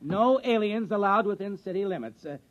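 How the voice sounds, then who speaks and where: Naive folksy small town fella, high voice, stubborn, muffled old radio. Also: male voice, animated, a little fast, slight background noise. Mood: defensive. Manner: folksy, defensive. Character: easygoing, simple-minded townsman, eager and stubborn. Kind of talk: 1950s radio acting.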